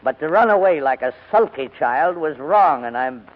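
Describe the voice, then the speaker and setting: An actor playing a proud, cantankerous elderly English gentleman in a wordy, pompous 1940s radio drama style. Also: a little high, lively intonation, moderate pace, natural voice. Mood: ashamed, rueful. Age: older. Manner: pompous, wordy, indignant, hesitating. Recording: dull vintage radio broadcast recording.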